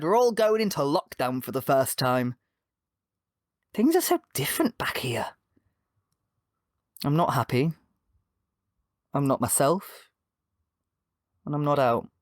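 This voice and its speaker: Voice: mid-range voice, dry recording, clean studio sound, close to an everyday voice. A performer playing an anxious young man confiding his feelings in a hesitant, wistful audio drama monologue. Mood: downcast, trapped. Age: young adult. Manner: confessional, hesitant, wistful with nervous little laughs.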